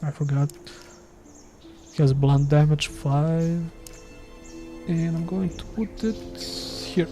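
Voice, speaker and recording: A man's voice: a little high, fairly even intonation, drawn-out words, long pauses, warm tone, faint music behind. A man from Serbia with a Serbian accent, a gaming streamer chatting casually and self-deprecatingly while playing live. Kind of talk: casual live gameplay commentary.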